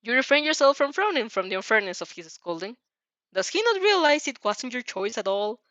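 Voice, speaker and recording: A woman's voice: a little high, big pitch swings, brisk, a bit bright, no echo. Giggly woman reading aloud, dull dry recording. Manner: often stumbles over words and breaks into giggles. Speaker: fan fiction reader. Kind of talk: animated dramatic reading of a story with giggly asides.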